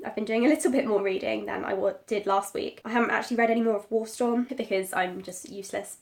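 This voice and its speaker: A woman's voice: mid-range voice, gravelly, dry recording. A woman with a cold, sounding bunged up and sniffly, chatting casually in a rambling reading vlog. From Britain. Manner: rambling, many likes and ums. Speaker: book vlogger.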